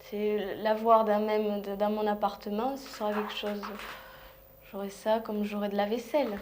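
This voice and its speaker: A woman in her thirties giving a relaxed, matter-of-fact interview answer. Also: medium pitch, moderate pace, slight background noise. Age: 30s.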